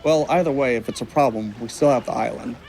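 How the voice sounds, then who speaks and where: A man's voice: mid-range voice, fast talker, a little mellow, a little hiss or hum, natural voice, rough recording. A man playing an easygoing, practical stage magician with a casual, matter-of-fact delivery in an audio drama. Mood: relaxed, practical. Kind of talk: naturalistic audio drama acting. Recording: boat ambience clearly behind.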